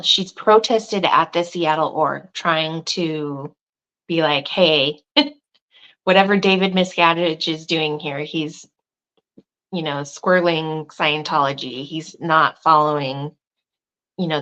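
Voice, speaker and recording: A woman in casual conversation, thinking aloud with restarts and asides, who says she has a loud voice. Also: sits low, low-quality recording. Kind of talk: casual chat.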